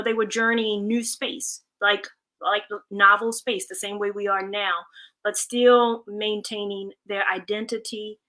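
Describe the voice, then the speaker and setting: A woman's voice: mid-range voice, sharp tone, poor audio. A woman speaking earnestly and reflectively as a community advocate in a panel discussion, stressing key words thoughtfully.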